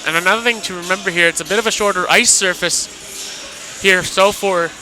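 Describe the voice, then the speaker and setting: A man's voice: high-pitched, moderate pace, over music. A man giving live radio analysis as color commentator at an ice hockey game. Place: indoor hockey arena. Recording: noisy live arena radio broadcast.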